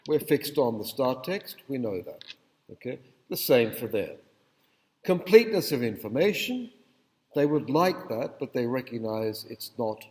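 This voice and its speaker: A man lectures at mid pitch, wandering off on small asides and stopping to check in with the room, "okay?". His voice carries a long reverb from a large lecture hall. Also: a little mellow, a little hiss or hum. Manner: conversational, digressive, checks in with the audience. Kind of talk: university lecture.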